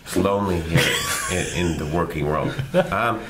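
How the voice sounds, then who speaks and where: A middle-aged man from Canada, a guest actor talking in a relaxed, self-deprecating and rambling way in a casual interview. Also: low-pitched, moderate pace, heavy background noise.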